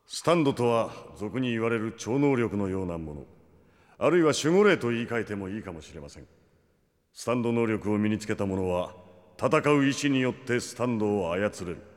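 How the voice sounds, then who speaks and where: A low, polite male voice of a learned man, acted out, explaining the supernatural with composure. A long reverb surrounds this serious drama exposition. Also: expressive, fairly quick, natural voice. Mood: composed, serious.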